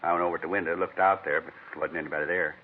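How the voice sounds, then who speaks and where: An actor playing a rambling, earnest middle-aged American neighbor in an old radio crime drama, plain-spoken and folksy. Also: male voice, low voice, steady tone, rapid-fire. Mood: explaining. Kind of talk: 1950s radio acting. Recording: thin, narrow-band vintage radio recording.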